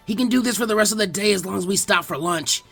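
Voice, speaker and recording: A man voicing an exasperated, worn-out teenage ninja trainee, complaining loudly. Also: high voice, quick pace, creaky, breathy edge, faint music behind, voice pushed up, exaggerated voice. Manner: loud, complaining.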